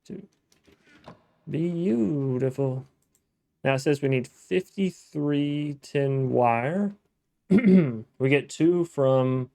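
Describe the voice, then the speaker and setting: A man's voice: medium pitch, warm tone, dry recording, studio quality. A man hosting a gameplay video, talking casually to himself as he plays, thinking aloud with restarts. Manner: chatty, thinking aloud, frequent restarts and self-talk. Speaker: gaming let's-play host.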